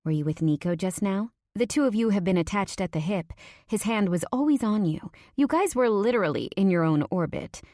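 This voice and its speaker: Casting: female narrator as young best friend, playful, nosy and teasing, lively lifts in pitch, dry audiobook sound. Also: brisk, dry recording, close to an everyday voice. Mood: teasing, excited.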